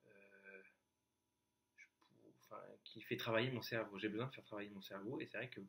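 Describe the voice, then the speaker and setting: A young man talking to his viewers in a casual, confessional vlog, hesitant and halting, often restarting and trailing off. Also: lowish voice, fairly bright tone, slightly rough. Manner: addresses the viewer directly, informal. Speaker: YouTuber.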